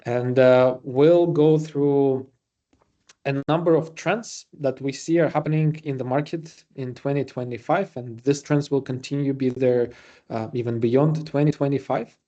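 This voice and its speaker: Mellow man with a Latvian accent, hosting. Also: medium pitch, steady tone, a little slow, dull audio. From Riga, Latvia. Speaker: webinar host and presenter. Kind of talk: semi-scripted webinar presentation.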